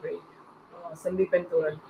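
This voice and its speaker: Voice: medium pitch, little pitch movement, normal speed, vocal fry. Podcast moderator on an echoey call. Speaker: panel moderator and host. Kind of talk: informal panel hosting. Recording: echoey, dull online call with faint noise.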